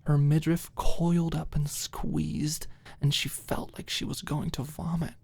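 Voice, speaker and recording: A woman narrating a scripted story as a soft ASMR-style audiobook. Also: sits low, flat delivery, measured pace, gravelly, slightly rough.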